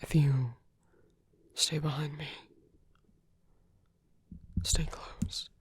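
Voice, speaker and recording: A man playing a protective, gently teasing villain in an intimate ASMR roleplay, speaking in a soft, hesitant, breathy half-whisper. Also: on the high side, level tone, extremely slow, slight background noise. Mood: gentle, urgent concern. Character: male villain, protective, gently teasing, rescuing a wounded rival.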